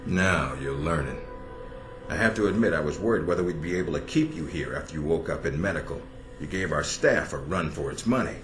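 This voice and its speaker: A man playing a gruff agency commander in a video game, plain-spoken and authoritative with measured approval. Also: a bass voice, expressive. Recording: roomy echo, game music behind.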